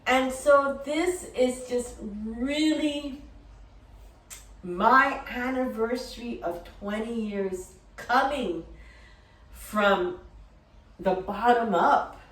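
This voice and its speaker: A middle-aged woman telling personal stories casually, rambling in an animated way and acting out remembered dialogue. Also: a little high, very slow. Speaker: dog-business entrepreneur and course creator. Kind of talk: casual personal storytelling to camera. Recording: roomy echo, distant from mic.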